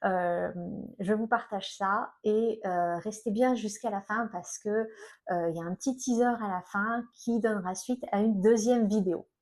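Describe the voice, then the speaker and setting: Mellow middle-aged French woman, talking haltingly. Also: medium pitch. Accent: French from France. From France. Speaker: self-employed energy healer and coach, video host. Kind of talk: personal vlog, informal talk to viewers.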